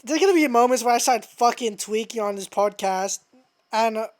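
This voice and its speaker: A young adult woman hosting a podcast in a casual, slangy, sweary freestyle ramble, scattered and excitable, close on the microphone. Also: fairly high pitch, moderate pace, no echo. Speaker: podcast host. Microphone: close microphone through a mixer.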